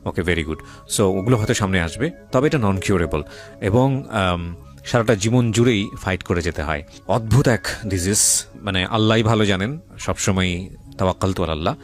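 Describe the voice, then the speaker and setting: A man's voice: deep voice, normal speed, soft background music. A man with a Bangladeshi accent hosts a radio interview, mixing English phrases into his Bengali and steering with questions and recaps. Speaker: radio show host. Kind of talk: interviewing a guest about a life story.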